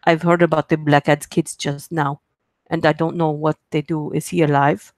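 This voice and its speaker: A woman's voice: low-pitched, little pitch movement, normal speed, no echo. Woman putting on a worried Russian-accented narrator for an internet horror story, close and dry. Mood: anxious. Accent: attempted Russian. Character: scared friend narrating in a put-on Russian accent.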